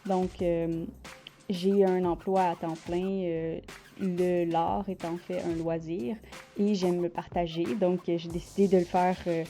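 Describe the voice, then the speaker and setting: A woman's voice: fairly low pitch, level tone, measured pace, warm tone, faint music behind. A woman from Quebec with a Quebec French accent, hosting her art channel in casual, unscripted talk and often hesitating as she searches for words. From Quebec, Canada.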